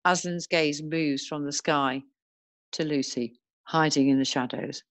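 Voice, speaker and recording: Low-voiced, slow, measured female narrator. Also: steady tone, dry recording. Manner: measured reading of stage directions. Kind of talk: scripted screenplay narration.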